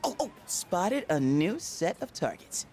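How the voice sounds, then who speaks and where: Cheeky teenage boy, excitable, glib, very animated pitch, video game dialogue, faint music. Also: unhurried. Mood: goading, excitable. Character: eager, cheeky teenage high school boy. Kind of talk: English video game voice acting.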